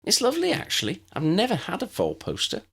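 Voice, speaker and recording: Young newcomer girl for audiobook, male performer: high swooping pitch, polite, curious, hesitant. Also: wildly swooping pitch, quick pace, a bit bright, breathy edge, heavily acted. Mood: thoughtful. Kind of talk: audiobook character voice.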